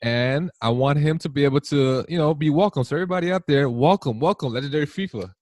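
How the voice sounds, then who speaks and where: A man hosting a casual podcast, rambling warmly with many fillers and restarts. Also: fairly high pitch, quick pace, no echo, clean studio sound. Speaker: podcast host.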